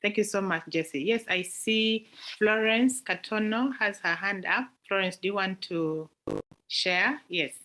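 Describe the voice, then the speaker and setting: A woman's voice: medium pitch, measured pace, sharp tone, low-quality recording. A woman with a Ugandan accent hosting an online club meeting, hesitant and full of fillers. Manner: hesitant, fillers and repeated words. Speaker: meeting host. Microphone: video call.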